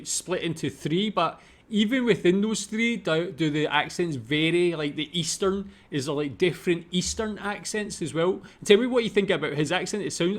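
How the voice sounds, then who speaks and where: A man with a Scottish accent, hosting a reaction video with casual, conversational commentary. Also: a little high. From Scotland.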